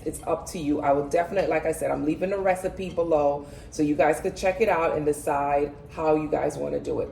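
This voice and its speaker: A woman's voice: deep voice, vocal fry, dark tone. A woman hosting a cooking video, explaining each step in a casual, chatty way as she cooks in her home kitchen. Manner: chatty, step-by-step, addresses viewers as guys. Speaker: cooking channel host. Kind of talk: casual cooking demo, talking to viewers. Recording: echoey kitchen, mic far off, noisy.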